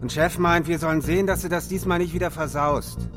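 A man's voice: fairly high pitch, fairly even intonation, a little fast, over music, lightly acted. A man playing a needling, pushy thug in a radio drama, talking colloquially as he passes on orders. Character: needling male thug passing on boss's orders. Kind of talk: German radio drama acting.

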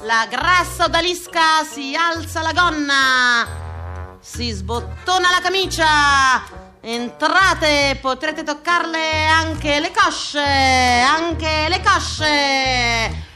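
A woman playing a bombastic fairground sideshow barker in a theatrical radio piece, crying out drawn-out, declamatory phrases. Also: high-pitched, loud music behind the voice. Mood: bombastic hawking.

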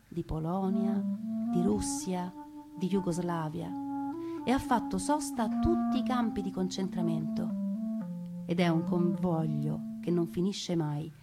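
Woman, theatrical storyteller, expressive delivery, dramatic pauses, strong musical backing. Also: lowish voice. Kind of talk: dramatic theatrical narration of a scripted memoir. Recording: music under the voice.

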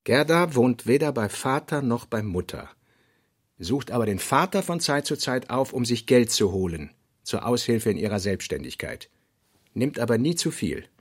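A man with a gravelly voice reading scripted literary narration for a radio drama. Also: mid-range voice, sing-song, normal speed, no room sound, clean studio sound.

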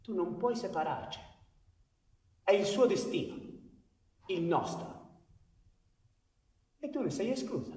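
Brash high-pitched outlaw, echoey. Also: male voice, lively intonation, unhurried, long pauses, darkish tone. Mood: defiant. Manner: sardonic, swearing, theatrical. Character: brash, hot-headed, crude male outlaw, mocking and defiant. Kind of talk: theatrical radio drama acting. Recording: roomy echoing stage sound, distant, faint noise.

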